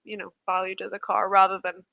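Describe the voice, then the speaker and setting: A woman's voice: medium pitch, level tone, fairly quick, gravelly, sharp tone, no room sound, highs cut off. A woman hosting a casual livestream chat, talking in a chatty, hesitant way with lots of filler words. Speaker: host of a livestream chat show.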